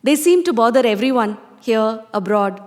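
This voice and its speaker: A woman's voice: a little high, animated, moderate pace, darkish tone, lightly acted. An actress with an Indian accent playing a poised, self-satisfied woman novelist giving a theatrical, confiding address in a reverberant space. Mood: coy, self-assured. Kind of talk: stage monologue reading. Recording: reverberant hall.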